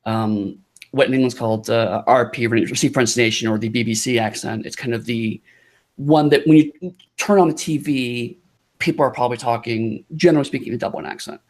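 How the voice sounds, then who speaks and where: A man talking as a podcast guest in casual chat, halting and rambling with lots of restarts and fillers. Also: medium pitch.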